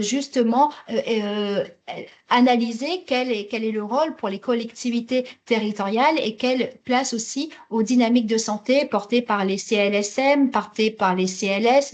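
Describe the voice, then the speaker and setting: Higher-pitched woman presenting, halting delivery. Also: a little mellow. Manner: hesitant, halting delivery with repeated words. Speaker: webinar presenter for a health network. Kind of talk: semi-scripted webinar presentation with slides.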